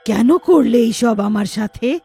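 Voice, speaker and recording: A woman's voice: on the high side, animated, a little slow, dark tone, breathy edge, faint music behind, full character voice. A woman voicing a wronged elderly mother turned witch ghost in a story, emphatic, reproachful and pleading. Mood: hurt reproach.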